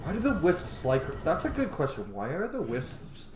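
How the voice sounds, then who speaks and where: A man with a slightly high voice hosts a let's play, talking casually and bluntly about the game. His speech is informal and opinionated. The room echoes, and game music plays clearly behind him. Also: huge pitch swings, moderate pace. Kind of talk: casual gameplay commentary. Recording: roomy echo, loud game music behind. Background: game music and sound.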